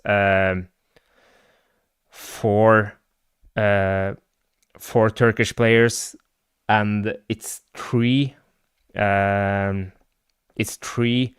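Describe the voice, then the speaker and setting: A man's voice: lowish voice, smooth, dry recording, clean studio sound. Norwegian man chatting about football. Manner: rambling with many fillers, answers live chat. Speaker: livestream host and football fan. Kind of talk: casual live chat about football.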